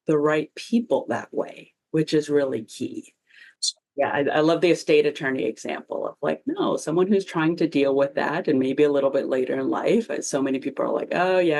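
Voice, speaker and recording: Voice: medium pitch, darkish tone. A marketing consultant hosting a casual chat, agreeable and full of anecdotes. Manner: chatty, agreeable, tells anecdotes. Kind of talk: casual advice conversation.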